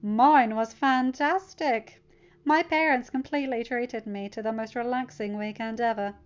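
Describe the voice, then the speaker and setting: A sneering teenage bully from an audiobook story, voiced by a woman. The boy drawls his taunts snidely and forcefully. The sound is slightly muffled. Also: a little high, unhurried, clean tone, close to an everyday voice.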